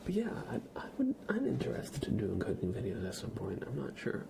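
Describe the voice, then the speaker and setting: A man streaming ASMR, chatting casually to viewers in a soft, breathy whisper with a slightly stuffy nose. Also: on the low side, wildly swooping pitch, brisk, noisy background. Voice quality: breathy whisper with a creaky edge. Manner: soft-spoken, rambling, casual.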